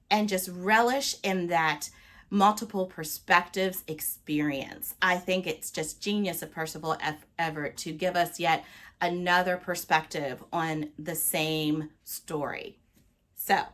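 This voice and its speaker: Expressive, chatty woman book vlogger. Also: fairly low pitch, slow pace. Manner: expressive, playful, emphatic. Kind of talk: casual, chatty talk to viewers.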